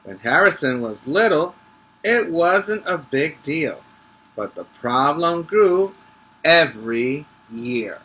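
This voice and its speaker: A man with a bright, forceful voice reads a children's story at a slow pace, a little high in pitch. He leans on key words and stops to check that young listeners follow, in the instructive way of a teacher. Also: very animated, slightly rough. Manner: emphatic, stretching key words. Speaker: preschool teacher reading aloud. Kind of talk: animated picture-book read-aloud with asides to children.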